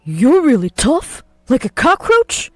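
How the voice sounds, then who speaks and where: A woman's voice: sits high, wildly swooping pitch, slow, no echo, soft background music, pitched above the performer's own voice, exaggerated voice. A woman narrator voicing a cruel, mocking husband who taunts his wife in a story.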